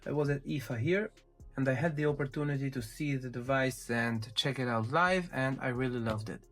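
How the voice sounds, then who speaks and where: A man presenting a casual tech unboxing, explaining things step by step with small hesitant pauses. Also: medium pitch, fairly bright tone, soft background music. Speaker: tech reviewer presenting his channel.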